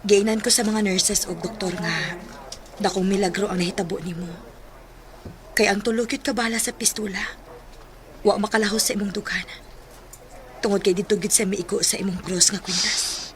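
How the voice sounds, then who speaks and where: A woman's voice: medium pitch, steady tone, normal speed, long pauses, close to an everyday voice. An actress with a Cebuano Filipino accent playing a caring, devout woman in a radio drama, speaking consolingly. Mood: concerned, consoling. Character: caring, devout woman comforting a wounded man. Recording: noisy radio drama with ambience.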